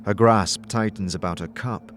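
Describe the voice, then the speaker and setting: A man's voice: fairly low pitch, fairly even intonation, normal speed, a bit bright, a little hiss or hum. A man narrating a scripted second-person story for an audio drama.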